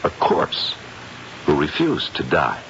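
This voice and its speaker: An actor playing a methodical, confident psychic detective in a 1970s radio drama, speaking deliberately and theatrically with probing pauses. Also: male voice, very deep voice, huge pitch swings, vocal fry, a bit bright, full character voice. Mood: probing, brisk. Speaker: narrator and lead character. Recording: muffled vintage radio broadcast, faint noise.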